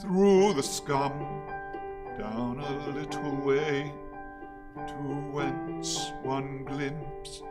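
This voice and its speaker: A man playing a servile, pompous orator in a radio play, declaiming poetic lines in halting, repeated phrases. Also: mid-range voice, loud music behind the voice. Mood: halting, solemn. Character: servile, pompous male orator pleading with his lord. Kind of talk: theatrical radio play recitation.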